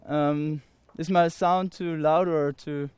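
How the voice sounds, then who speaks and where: A nineteen-year-old man from Austria with an Austrian German accent, giving an earnest personal testimony to an audience in halting English full of pauses and restarts. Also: fairly high pitch, fairly even intonation, a little mellow, clean tone.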